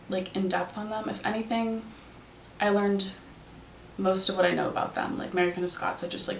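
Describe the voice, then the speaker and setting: A young woman with a gravelly edge to her voice talks loosely, with many fillers. She is from Arizona. Her voice rings in an echoey room with faint background hum. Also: fairly low pitch. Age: young adult. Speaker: interviewee. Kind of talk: casual recollection.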